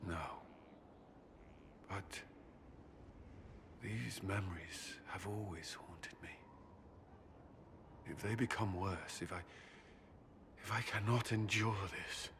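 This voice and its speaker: A man playing an earnest former Templar commander haunted by guilt, speaking haltingly and formally in a dramatic video game. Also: deep voice, fairly even intonation, fairly bright tone, slight background noise, heavily acted. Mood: haunted, troubled.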